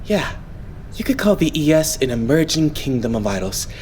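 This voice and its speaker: A man voicing a polite, composed young idol leader in an anime fandub, explaining things in a measured way. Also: a little high, big pitch swings, darkish tone, a little hiss or hum. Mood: calm explaining. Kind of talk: anime game fandub acting.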